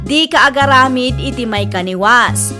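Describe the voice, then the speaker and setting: A woman's voice: high voice, normal speed. A woman with an Ilocano Filipino accent presenting a radio drama with scripted, moralizing narration. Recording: loud music under the voice.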